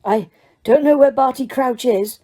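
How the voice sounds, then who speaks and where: A woman voicing a wise old male headmaster who speaks in a calm, firm and measured way. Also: high voice, pitched above the performer's own voice.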